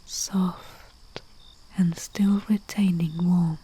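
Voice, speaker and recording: Woman acting as gentle humble herbalist, breathy whisper, dark mellow tone, lowish pitch, hushed ASMR roleplay, soothing. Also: steady tone. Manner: slow, hushed, soothing, with long pauses. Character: humble female herbalist, soothing and deferential servant to nobility. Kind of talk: soft-spoken ASMR roleplay, guided relaxation. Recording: faint hiss with chirping night crickets. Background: crickets and night insect ambience.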